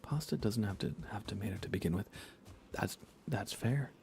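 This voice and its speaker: An American man speaking in soft, breathy whispers, chatting casually and unhurriedly to viewers of an ASMR livestream. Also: on the low side, a little hiss or hum. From United States. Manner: hushed, unhurried, conversational.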